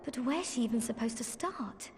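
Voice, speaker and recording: High, animated, anxious young fantasy woman actress. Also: moderate pace, close to an everyday voice. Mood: fretful. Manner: informal, emotive, blunt. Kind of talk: video game cutscene acting. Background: music.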